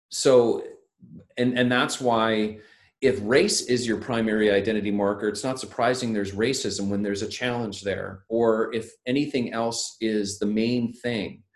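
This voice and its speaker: A man, a church leader, speaking in an earnest, measured way. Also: fairly low pitch, a little mellow, echoey room. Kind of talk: panel talk.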